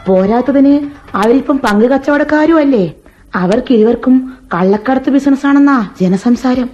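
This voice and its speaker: A woman playing Meenakshi, a sharp-tongued, gossiping housemaid, in a radio play. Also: sits high, moderate pace, lightly acted. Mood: gossipy. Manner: gossipy, wry, colloquial. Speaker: radio drama actor. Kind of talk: 1980s Indian radio play acting. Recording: dull old radio recording with faint music.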